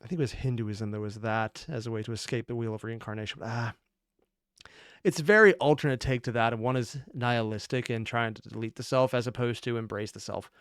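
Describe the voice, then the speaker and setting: A man's voice: medium pitch, brisk, smooth, dry recording, clean studio sound. A man giving casual, off-the-cuff commentary as a reaction host, analytical and prone to rambling asides and correcting himself.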